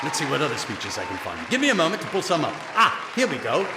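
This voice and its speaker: An actor playing a vain, sarcastic, flustered reluctant hero in a sci-fi comedy audio drama, with a wordy, theatrical delivery. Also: male voice, fairly high pitch, wildly swooping pitch, quick pace, background noise. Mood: smug. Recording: music and crowd behind the voice.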